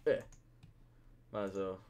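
A man's voice: sits low, takes it slowly, a little mellow, slight background noise. A young man just woken up, rambling casually and drowsily as he comments on his gameplay. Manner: rambling, drowsy, self-mocking. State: just woken up, deep morning voice.

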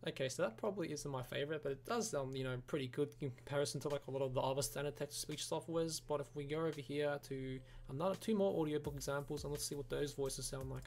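A man giving a casual, rambling software review, often saying "you know" and "like". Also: on the high side, fast, vocal fry, few pauses, a little hiss or hum. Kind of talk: casual screen-recorded review. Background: background music.

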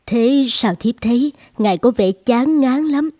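A woman's voice: on the high side, expressive, measured pace, a little mellow, no room sound, studio quality. A woman voicing an attentive, dutiful royal consort in a children's cartoon, courtly and respectful. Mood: concerned, deferential. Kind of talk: dubbed children's cartoon acting. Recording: faint music behind.

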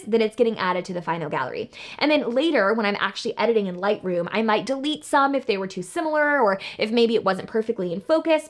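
A young woman talking as a photography educator, explaining her workflow in an upbeat, chatty way from a bright home studio. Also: fairly high pitch. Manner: upbeat, chatty, fast with fillers like "like" and "um". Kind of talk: casual tutorial talk to camera.

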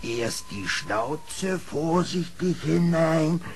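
An actor playing a slow, gullible, greedy male bear in a children's radio play, ponderous and eager. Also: a little high, lively intonation, a little hiss or hum, exaggerated voice. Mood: greedy, excited.

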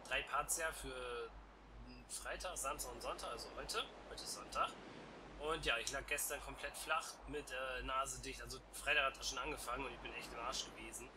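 A man's voice: medium pitch, normal speed. A young man with a stuffy cold, chatting casually as a let's play host on a headset. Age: young adult. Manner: chatty and relaxed. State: congested with a cold. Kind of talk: casual game commentary. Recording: noisy home headset recording.

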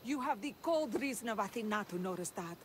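An actress playing a solemn, grieving older village leader in ancient Greece, in a video game. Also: female voice, medium pitch, expressive, a little fast, a little hiss or hum, natural voice. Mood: distressed.

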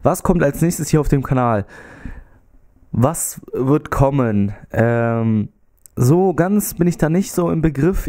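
A man's voice: medium pitch, warm tone. A young man with a slight cold, chatting casually into a handheld mic in his small bedroom, rambling with lots of fillers. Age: young adult. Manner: rambling, many fillers, gestures while talking. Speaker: YouTuber. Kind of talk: casual vlog chat. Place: small bedroom with TV and gaming setup. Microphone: handheld mic held close.

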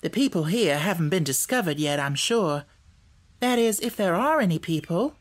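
Male reader as little girl, raised pitch, confident, earnest, matter-of-fact, audiobook character. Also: lively intonation, moderate pace. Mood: cheerful. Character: cheerful, confident, practical little farm girl.

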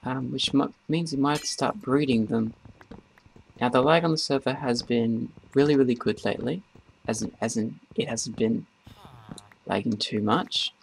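Creaky-voiced man rambling over gameplay. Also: mid-range voice. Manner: rambling, halting delivery. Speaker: gaming video host. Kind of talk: casual gameplay commentary. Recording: noisy, keyboard and mouse clicks.

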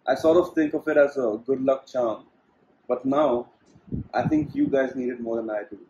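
A man's voice: mid-range voice, darkish tone, lo-fi sound, close to an everyday voice. Young guy as meek placating husband and dad, amateur comedy acting, echoey room, bit of noise. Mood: earnest. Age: young adult. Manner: earnest, placating. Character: meek, doting, henpecked father and husband. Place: indoor home living room.

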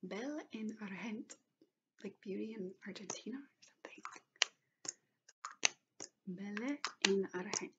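A woman in her twenties doing gentle, soothing ASMR in a soft, breathy whisper. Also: mid-range voice, measured pace, long pauses, very noisy. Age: 20s. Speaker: ASMR host. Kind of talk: whispered ASMR personal-attention roleplay. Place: small bedroom, indoors. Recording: dull lo-fi webcam-style sound.

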